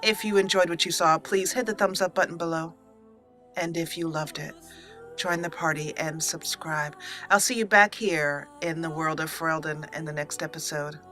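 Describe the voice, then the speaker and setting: Gamer lady doing roleplay let's play commentary, bright voice, slow n theatrical, soft game music behind. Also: low-pitched, steady tone. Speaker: gaming channel host.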